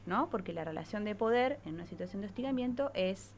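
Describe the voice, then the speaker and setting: A woman's voice: medium pitch, lively intonation, fast, slight background noise. A woman with an Argentine accent, a psychologist columnist explaining things on radio and often checking in with short tag questions.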